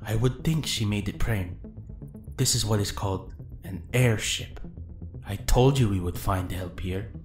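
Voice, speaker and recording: A man playing a calm, pragmatic ninja with a measured way of talking, in a fantasy audio drama. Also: lowish voice, faint music behind, natural voice. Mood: assured.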